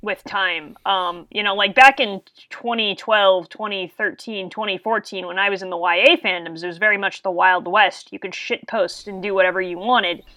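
Bright, teasing woman. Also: medium pitch, steady tone, normal speed, few pauses, no room sound. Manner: teasing, upbeat. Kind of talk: casual chat.

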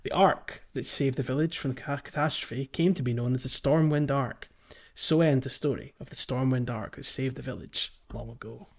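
A man's voice: mid-range voice, normal speed, gravelly, dull audio. A man hosting a podcast, talking casually through a video game and sometimes reading aloud. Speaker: podcast host.

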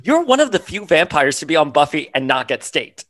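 A podcast co-host asking gushing, excited questions of a guest. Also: mid-range voice, a little fast, no room sound, studio quality. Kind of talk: enthusiastic podcast hosting.

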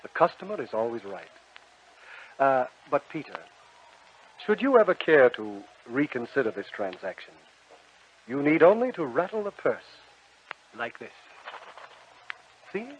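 A smiling devil who peddles contracts for souls, all easy salesman charm. His pitch rolls up and down lively as he coaxes. It comes through a dull old radio recording with faint hiss. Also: measured pace, long pauses. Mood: sly. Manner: smooth, wheedling sales patter. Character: suave smiling devil, a soul-trading salesman. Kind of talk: 1940s radio acting.

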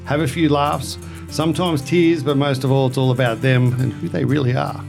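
A man's voice: mid-range voice, steady tone, moderate pace, vocal fry, over music. An Australian man hosting a podcast interview, chatty and jokey in a casual, upbeat way. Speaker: podcast host.

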